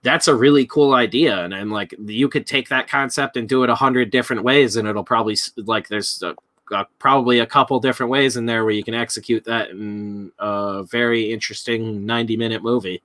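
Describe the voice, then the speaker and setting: A man with a forceful, bright voice talks casually as a live stream co-host. He rambles through his thoughts with loose, profane phrasing, and the recording is dry and close, with little room sound. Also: mid-range voice, steady tone, brisk, no echo. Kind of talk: casual chat about films.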